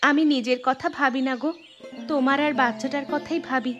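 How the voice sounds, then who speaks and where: Woman acting poor young village mother, high voice, caring, plaintive, cartoon drama, faint music behind. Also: steady tone, a little fast, highs cut off, close to an everyday voice. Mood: worried, caring. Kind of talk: cartoon story dialogue.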